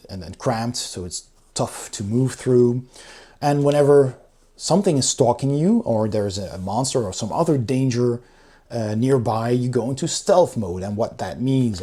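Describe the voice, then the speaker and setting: A man's voice: medium pitch, mellow, slightly rough. A man giving a casual, conversational review, explaining things step by step as a host. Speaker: board game reviewer and channel host.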